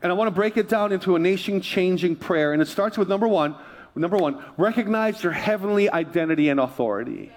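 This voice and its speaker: High-pitched male preacher, emphatic. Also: expressive, fast talker, darkish tone. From Hawaii, United States. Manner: emphatic, repeats phrases, prompts the listeners. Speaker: pastor preaching to a congregation. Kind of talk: sermon.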